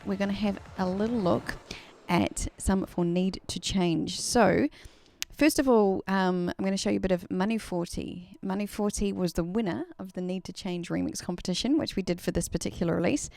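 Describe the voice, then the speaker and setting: A woman's voice: medium pitch, creaky, a little mellow, no echo, clean studio sound. A woman from New Zealand with a New Zealand accent tinged Australian, chatting casually on a live stream, laughing often and using many fillers. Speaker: singer hosting a live stream.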